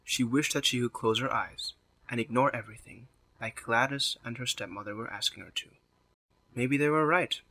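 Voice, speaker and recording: A man's voice: medium pitch, normal speed, sharp tone, slight background noise. A man narrating a scripted story as the narrator of an audio drama.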